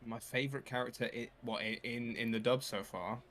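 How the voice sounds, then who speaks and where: A man with a medium-pitched, bright and forceful voice chats casually. His delivery is halting as he talks among a group. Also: fairly even intonation. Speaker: panelist. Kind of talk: casual chat.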